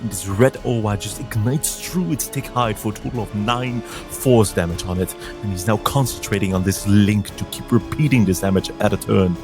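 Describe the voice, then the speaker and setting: A man describing his character's moves in a tabletop game with dramatic flair. His voice is fairly low and music plays behind him. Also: moderate pace, few pauses. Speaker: player. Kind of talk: narrating his character's actions.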